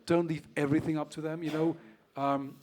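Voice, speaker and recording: A man's voice: on the high side, level tone, brisk, a little mellow, slight background noise. A man who grew up in Vienna, speaking frankly on a conference panel as a solar association representative, often restarting his sentences. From Austria. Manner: frank, many restarts and repeated words. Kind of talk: panel discussion.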